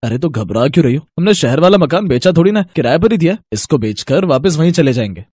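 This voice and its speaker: A man's voice: a little high, sing-song, fast, darkish tone, slightly rough, dry recording, studio quality. An actor playing a weary but reassuring family man in a cartoon story, explaining with mild exasperation. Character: weary, reassuring husband and son. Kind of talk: cartoon moral story acting.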